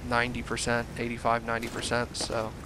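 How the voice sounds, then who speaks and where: A young man commenting casually on a video game he is playing, his voice a little hoarse from a cold, rambling with self-deprecating asides. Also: mid-range voice, completely flat delivery, quick pace, background noise. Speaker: let's play gamer.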